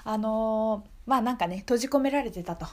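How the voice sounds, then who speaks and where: Lady radio host, casual solo talk, polite n thoughtful, kinda musing out loud. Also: a little high, a little fast. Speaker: radio show host and singer-songwriter.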